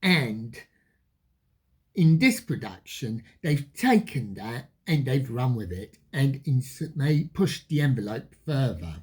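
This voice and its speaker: Husky voice, unhurried pace, animated pitch swings, emphatic film review delivery. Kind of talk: spoken film review to viewers.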